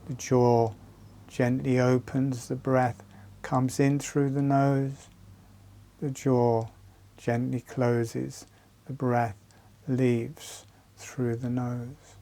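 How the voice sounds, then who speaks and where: A man leading a guided breathing and relaxation exercise, speaking calmly and soothingly in short phrases with long pauses. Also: mid-range voice, gravelly.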